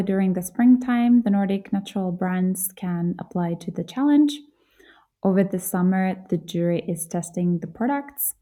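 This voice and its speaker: A woman's voice: fairly low pitch, warm tone. A woman from Finland hosting a podcast, telling her story in an earnest, reflective way. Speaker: podcast host. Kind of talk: solo podcast monologue.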